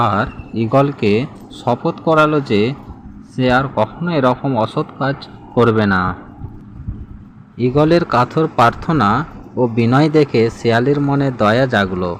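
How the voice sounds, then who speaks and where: Slow-paced male fable narrator. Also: mid-range voice. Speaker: storyteller, narrator. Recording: clear background noise, outdoor ambience.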